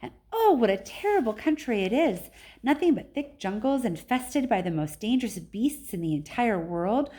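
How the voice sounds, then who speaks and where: Storybook role: proud, excitable male factory owner, theatrical and very animated female reader. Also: fairly high pitch, normal speed, husky. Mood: dramatic, emphatic.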